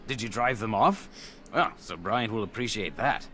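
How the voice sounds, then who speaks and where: Man playing a low-voiced courteous village guard. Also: animated, normal speed, sharp tone. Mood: polite, concerned. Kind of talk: fantasy video game acting. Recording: distant game dialogue with clear background noise.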